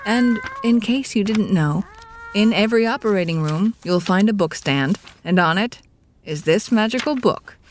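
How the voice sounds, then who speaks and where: A woman's voice: mid-range voice, lively intonation, normal speed, slight background noise, close to an everyday voice. A woman playing a wry, theatrical storyteller, narrating a scripted audio drama in a confiding, grandiose manner. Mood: wry, dramatic.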